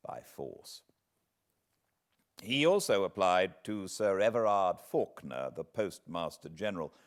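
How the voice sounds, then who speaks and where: Low-voiced British man reading aloud. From Britain. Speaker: audiobook narrator. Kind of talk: literary audiobook reading of a historical autobiography.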